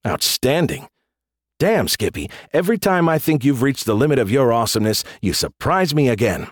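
A man's voice: medium pitch, big pitch swings, fairly quick, no echo, studio quality. A man voicing an irreverent, sarcastic military commander in an audiobook, with a casual, teasing way of talking. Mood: curious. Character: wisecracking, irreverent male military commander of space pirates. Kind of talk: audiobook character dialogue.

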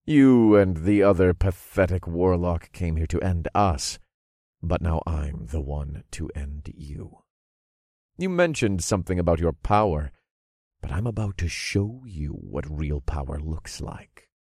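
A man voicing a cruel, gloating dark lord in an audiobook, commanding, taunting and full of contempt. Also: deep voice, expressive, a little slow, no room sound, studio quality, exaggerated voice. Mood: gloating threat.

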